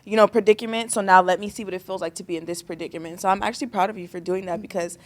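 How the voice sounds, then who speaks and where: A woman's voice: mid-range voice, steady tone, very fast. A woman hosting a podcast, asking questions in a casual, supportive way and using plenty of slang. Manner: supportive, slangy, warm encouragement. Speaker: podcast host. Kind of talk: casual interview chat.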